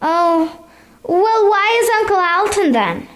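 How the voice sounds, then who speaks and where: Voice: very high voice, big pitch swings, slow pace, fairly bright tone, exaggerated voice. A performer voicing an inquisitive, blunt nine-year-old farm girl with a childlike, questioning manner in a radio play. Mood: curious.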